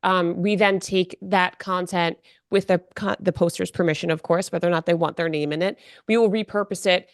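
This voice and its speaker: A woman on a non-profit board explaining her group's work in a podcast interview, measured and full of "you know". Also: on the low side, steady tone, no echo, clean studio sound.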